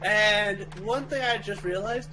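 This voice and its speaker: A man chatting casually on a game stream, telling stories in an excitable way. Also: sits high, unhurried, background noise. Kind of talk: casual chat.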